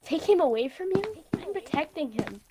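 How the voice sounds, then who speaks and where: A woman acts out a defiant, scornful female protector in a video game roleplay. The voice is high, and the recording is full of loud noise and clicks. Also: very animated, a little fast. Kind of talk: improvised Minecraft roleplay.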